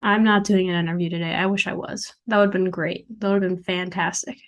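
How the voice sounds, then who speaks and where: Hoarse young woman chatting casually. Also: fairly low pitch, steady tone, a little fast, lo-fi sound. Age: young adult. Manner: chatty, self-deprecating, joking asides. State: hoarse, losing her voice. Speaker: podcast host and founder. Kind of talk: casual solo podcast chat. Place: dorm room. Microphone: desk mic at a computer.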